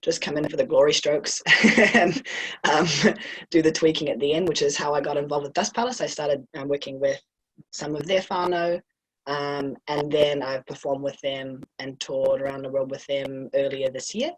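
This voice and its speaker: A man giving a relaxed, casual introduction of himself on a video call, with frequent ums. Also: on the high side, normal speed, poor audio. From United States. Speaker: actor and director.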